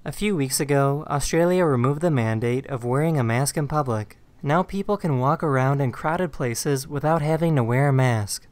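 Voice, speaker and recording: A man's voice: medium pitch, moderate pace, hardly any pauses, slight background noise. A man reading scripted narration for a compilation of short viral clips.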